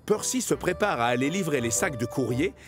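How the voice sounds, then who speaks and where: A man's voice: on the high side, fairly quick. Male storyteller over music. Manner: storytelling, expressive. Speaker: narrator. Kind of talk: scripted children's cartoon narration.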